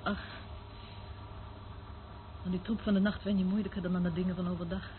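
An actress playing a weary, cynical surveillance worker in a radio play, speaking in a dry, dismissive way. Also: female voice, fairly low pitch, flat delivery, brisk, close to an everyday voice. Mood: brooding. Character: weary, cynical woman working as surveillance eavesdropper. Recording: noisy, tinny band-limited old radio recording.

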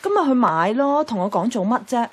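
A woman's voice: on the high side, expressive, fairly quick, husky. A woman playing an anxious, defensive single office worker in a Hong Kong Cantonese radio drama, speaking in an emphatic, plaintive way. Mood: offhand. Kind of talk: radio drama dialogue with narration.

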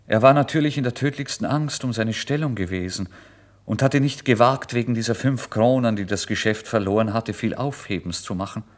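A man's voice: medium pitch, a little fast, natural voice. An actor playing a starving, feverish, proud man who narrates his own torment in a theatrical, intense radio monologue. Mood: remorseful. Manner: theatrical, intense, swinging from hushed to loud outbursts. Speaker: first-person narrator. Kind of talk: dramatic literary monologue for radio.

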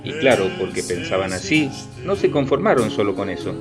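An actor playing a knowing, teasing explainer, emphatic and didactic in radio-play dialogue. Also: male voice, lowish voice, very animated, a little fast, music in the background. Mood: corrective. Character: knowing, teasing male explainer correcting a naive friend. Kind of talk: radio theatre dialogue, storytelling.

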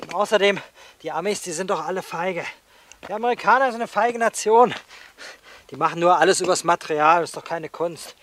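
Radio play: scornful, smug young soldier with a high-pitched voice. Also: male voice, brisk, a bit bright. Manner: know-it-all, scornful. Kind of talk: German radio play acting.

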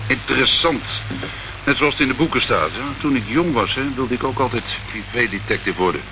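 An actor playing a suave, menacing crime boss in a radio play, speaking with polite veiled threats. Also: male voice, mid-range voice, brisk, lightly acted. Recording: muffled, noisy old radio recording.

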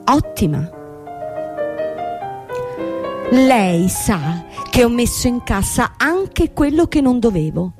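An actress playing a young, proud, ruined company boss in a theatrical radio drama, speaking formally and emphatically with rhetorical questions. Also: female voice, fairly high pitch, sing-song, measured pace. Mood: defensive. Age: young adult. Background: music.

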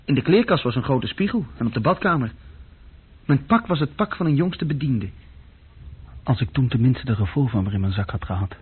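A man's voice: medium pitch, expressive, moderate pace, husky. An actor playing an uneasy, insecure young junior clerk who tells his story hesitantly and intimately in a radio play. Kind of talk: radio play acting, intimate first-person narration. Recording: dull, muffled old radio recording.

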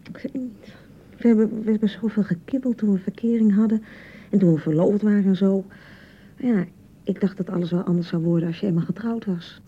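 A woman's voice: medium pitch, brisk, slightly rough. A woman acting a touchy, hurt and unsure young newlywed bride in a radio drama, defensive and hesitant in manner. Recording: dull, slightly muffled 1990 radio broadcast.

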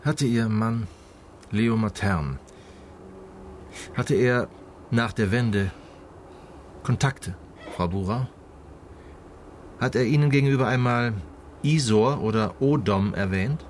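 An actor playing a sober investigator, asking measured, formal questions in a naturalistic radio drama. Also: male voice, mid-range voice, slight background noise, natural voice. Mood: probing. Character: male investigator, sober and probing.

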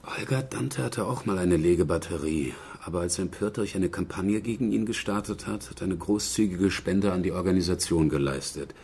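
An actor playing a dry, persistent police detective in a German radio crime drama, questioning in a wry, probing way. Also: male voice, sits low, moderate pace, gravelly, few pauses, darkish tone, breathy edge, heavily acted. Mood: matter-of-fact.

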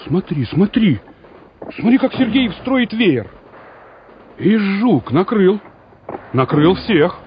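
Excitable young soviet officer, highish voice, dull old radio play sound, war noise. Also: male voice, slow pace, long pauses, heavily acted. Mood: elated, excited. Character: fervent young Soviet army officer directing mortar fire.

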